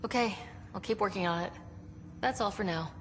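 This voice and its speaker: A woman's voice: mid-range voice, a little fast, long reverb tail, soft background music, close to an everyday voice. An actress playing a young woman explorer in a video game, wry and easygoing, with a dry sense of humor. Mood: wry, reflective. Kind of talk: video game cutscene acting.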